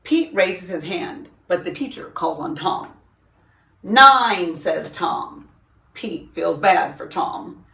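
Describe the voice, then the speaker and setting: Woman, storytime reader, expressive, unhurried, echoey room, distant mic. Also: fairly low pitch, creaky. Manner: expressive, deliberate, puts on character dialogue. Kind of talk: children's picture book read-aloud. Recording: roomy echo, distant microphone.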